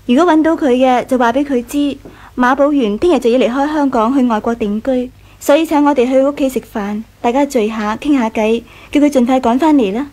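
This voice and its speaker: A woman's voice: high voice, a little fast, dark tone, natural voice. A woman playing a gracious, composed young wife of a rich household in a Cantonese radio drama, with a Hong Kong accent. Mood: polite, brisk.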